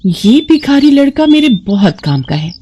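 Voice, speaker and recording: A woman's voice: high-pitched, sing-song, a little slow, mellow, a little hiss or hum, voice pushed up. A woman voicing a scheming, manipulative sister-in-law in a moral story, theatrically complaining and cajoling. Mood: calculating. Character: scheming, sharp-tongued sister-in-law, sweet-talking and manipulative. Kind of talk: dramatized moral story acting.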